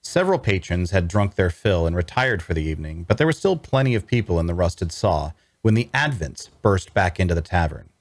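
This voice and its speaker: A man voicing a brooding, watchful fantasy hero who narrates his own story in a measured storytelling manner. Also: sits low, sing-song, no echo. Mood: wary, reflective. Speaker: voice actor reading narrator. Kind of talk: first-person audiobook-style narration at a table read.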